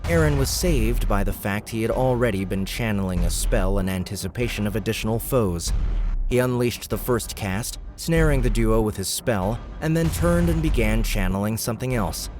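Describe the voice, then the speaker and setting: Low deep guy reading a fantasy audiobook, clear music underneath. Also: animated, moderate pace, darkish tone. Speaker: audiobook narrator. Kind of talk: scripted fantasy fiction narration.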